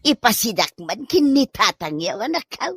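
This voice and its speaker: A woman's voice: a little high, big pitch swings, moderate pace, a bit bright, faint music behind. A woman playing a bossy, amorous old Filipino wife and mother in a comic drama, with an Ilocano accent. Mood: chatty. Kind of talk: broad comic radio-style drama acting.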